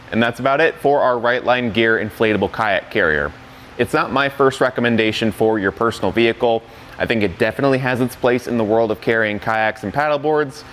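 A man's voice: medium pitch, fairly bright tone, slight background noise. A young man plainly walking through a product demo as reviewer, candid about its weak points, in an indoor studio bay. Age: young adult. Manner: plain-spoken, candid, walks through details.

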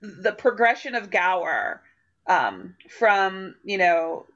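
A woman's voice: mid-range voice, sing-song, slow pace, a bit bright. Gushing woman on a video call. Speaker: stage manager. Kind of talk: casual chat. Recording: slightly dull video-call audio.

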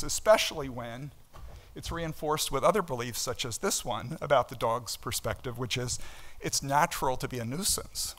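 A man's voice: medium pitch, fairly bright tone. Emphatic older man lecturing. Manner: emphatic, rhetorical questions and asides. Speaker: professor giving a keynote lecture. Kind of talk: conference lecture, explanatory and conversational. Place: indoor auditorium stage at a lectern.

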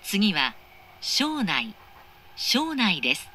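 A woman's voice: mid-range voice, huge pitch swings, very slow, bright, forceful tone. A woman reading scripted train station announcements in a formal, precisely enunciated manner. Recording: clear train noise, recorded inside carriage. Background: moving train interior noise.

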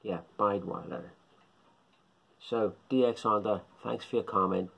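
Husky, gravelly middle-aged Englishman musing. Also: fairly low pitch, fairly even intonation, a little slow, a bit bright. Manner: rambling, musing, repeats himself. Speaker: shortwave radio hobbyist vlogger. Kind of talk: casual talk to camera. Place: small indoor living room. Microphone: phone or webcam at close range.

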